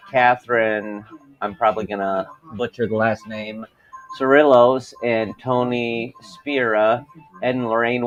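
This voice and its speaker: A man hosting a live stream, filling time with a brisk announcement about what comes next. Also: medium pitch, highs cut off. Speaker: show host.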